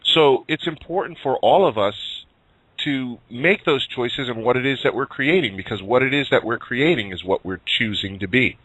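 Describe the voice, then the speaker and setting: A man speaking as a talk show guest in a deliberate, persuasive monologue. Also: mid-range voice, fairly bright tone, husky, low-quality recording.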